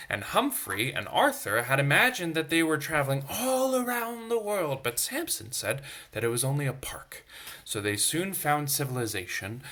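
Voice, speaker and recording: A man reads a children's story with very animated pitch and a bright voice. He is expressive and dramatic, as a storyteller for young listeners. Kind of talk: animated bedtime story reading with asides.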